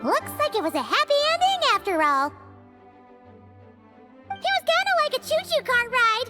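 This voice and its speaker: A woman voicing a small, childlike fairy companion in an anime game, chirpy, squeaky and curious. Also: wildly swooping pitch, normal speed, exaggerated voice. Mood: cheerful. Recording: loud music under the voice.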